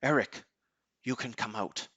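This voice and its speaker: A man playing a commanding, fatherly leader of a superhuman team in an amateur audio drama, speaking in a measured, explanatory way. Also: medium pitch, sharp tone, highs cut off. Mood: earnest, fatherly.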